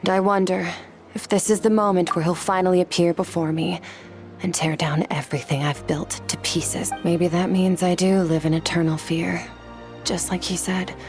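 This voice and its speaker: Low female voice, guarded swordswoman played by an actress, solemn, restrained, video game acting, clear music underneath. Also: little pitch movement, normal speed, natural voice. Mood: grave, guarded. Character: Skirk, stoic guarded swordswoman haunted by her master. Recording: loud music behind the voice.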